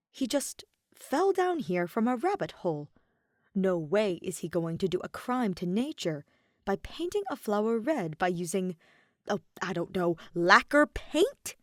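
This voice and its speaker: Animated woman reading a story. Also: mid-range voice, no room sound, studio quality. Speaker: podfic reader. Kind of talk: expressive scripted story narration.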